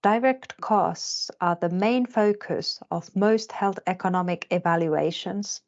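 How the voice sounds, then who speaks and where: A woman in her forties, originally from Finland, delivers a scripted lecture at an unhurried pace. Her speech is measured and deliberate. The recording sounds somewhat dull and lo-fi. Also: medium pitch. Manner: measured, carefully paced delivery. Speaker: health economist.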